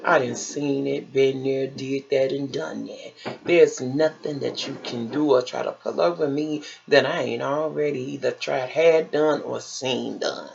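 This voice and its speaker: An American woman vlogger from Chicago tells stories in a casual, chatty way. Her voice is raspy with a creaky fry, and she takes her time, leaning on repeated phrases for folksy emphasis. The sound is a little dull and lo-fi. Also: very deep voice, unhurried, darkish tone, slight background noise. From Chicago, United States. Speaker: vlogger telling personal stories.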